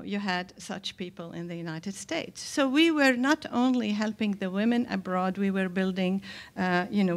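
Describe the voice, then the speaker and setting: An older woman from Lebanon addresses a symposium from a podium. Her voice sits at a middle pitch, and she speaks deliberately and emphatically, pausing as she forms her points. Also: creaky. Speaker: panelist, scholar and activist. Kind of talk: public lecture at a symposium. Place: indoor hall, speaking from a podium. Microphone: microphone on a stand.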